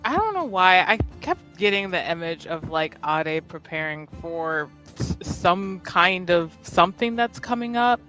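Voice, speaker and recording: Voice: medium pitch, sing-song, measured pace, hardly any pauses, pushed and bright, soft background music. A player casually improvising narration in a tabletop roleplaying game, hesitant and thinking aloud.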